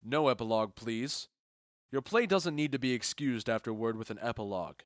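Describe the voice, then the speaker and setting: A man's voice: medium pitch, brisk, no echo, clean studio sound, natural voice. A man playing a wry, genial noble duke in an audio drama, assured and courtly. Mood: wry amusement. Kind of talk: audio drama Shakespeare in modern English.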